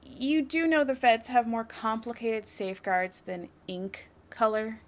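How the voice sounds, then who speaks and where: Woman playing a smug flirty lady crook in an audio roleplay, kinda high voice, swoopy and teasing. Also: expressive. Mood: amused, defiant. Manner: teasing, confident, coy, drawn-out delivery.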